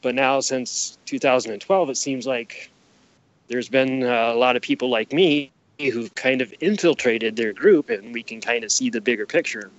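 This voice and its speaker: A man talks as a guest on a podcast, in a mid-pitched voice. He explains in a casual but emphatic way, circling back to repeat his phrases. The audio is muffled and dry. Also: normal speed, no echo. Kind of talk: casual explanatory interview talk.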